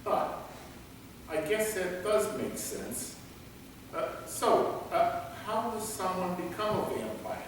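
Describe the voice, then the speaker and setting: In a distant, echoing hall, a man acts out an inquisitive guard in a comic stage play. His delivery is slow and halting, with lively swings in pitch as he stalls for time with questions. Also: exaggerated voice. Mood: curious, stalling. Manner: halting, inquisitive, hesitant phrasing. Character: captured male guard stalling vampires with curious questions. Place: large reverberant indoor performance space. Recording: echoey hall from far off, noisy.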